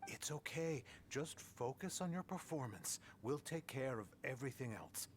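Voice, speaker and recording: A man's voice: medium pitch, expressive, moderate pace, slight background noise, natural voice. A man playing a reassuring, practical young ally in a video game, easygoing and matter-of-fact.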